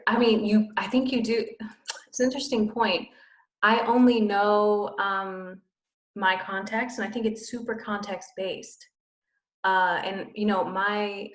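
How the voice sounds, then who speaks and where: A woman speaks thoughtfully in casual conversation, repeating key words for emphasis. Her voice sits at a middle pitch and bounces off the walls of an echoey room. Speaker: missionary.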